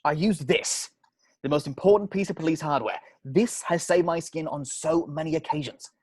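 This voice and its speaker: A man reading the part of a stern, deadpan police sergeant who goes strictly by the rules. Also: fairly high pitch, moderate pace, breathy edge, no room sound. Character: stern, rule-bound male police sergeant.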